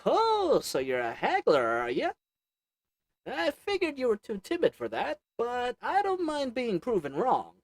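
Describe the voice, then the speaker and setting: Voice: mid-range voice, wildly swooping pitch, a little slow, no room sound, clean studio sound. Someone voicing a sly goblin potion seller. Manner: wheedling, sarcastic salesman patter; chuckles between lines. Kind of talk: audio roleplay acting to the listener.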